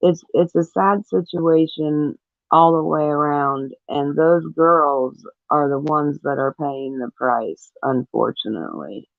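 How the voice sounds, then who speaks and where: A woman's voice: deep voice, slow, gravelly, no room sound. A woman giving earnest, disapproving opinions in casual commentary as a panelist on a discussion show. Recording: thin phone-like sound.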